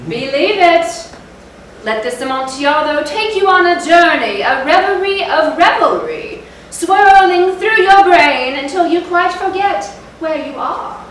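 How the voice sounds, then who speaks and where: Actress as vengeful luxury pitchwoman, high florid voice, seductive menace, theatrical mock advert, very echoey. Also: animated, measured pace, a bit bright, heavily acted. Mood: grandly alluring. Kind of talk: parody advert in live readers' theatre. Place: echoey indoor theatre, live stage reading. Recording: very echoey hall, distant mic, faint noise.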